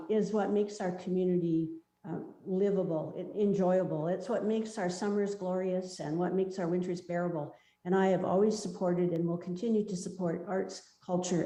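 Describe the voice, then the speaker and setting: Measured woman, echoey room. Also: lowish voice, mellow. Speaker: council candidate. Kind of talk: campaign speech.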